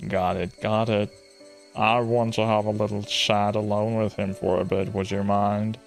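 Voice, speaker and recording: A man's voice: on the low side, little pitch movement, unhurried, vocal fry, soft background music. A man doing a blunt, pushy detective. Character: younger male police detective, blunt and pushy.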